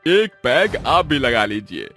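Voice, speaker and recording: A man voicing a sly, spoiled, pushy young son-in-law in an animated storybook tale, wheedling as he talks. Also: medium pitch, slow, husky, faint music behind, exaggerated voice.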